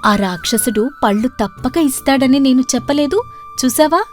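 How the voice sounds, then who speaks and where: A woman's voice: high voice, animated, moderate pace, soft background music. A woman voicing a stubborn young princess wife, coaxing and insistent, in a storybook tale. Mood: smug. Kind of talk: storybook cartoon character voice.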